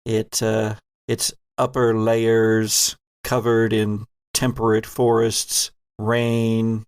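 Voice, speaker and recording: A man hosting and game mastering a horror audio drama, narrating with theatrical, deliberate phrasing. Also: on the low side, completely flat delivery, clear, resonant tone, no room sound, clean studio sound.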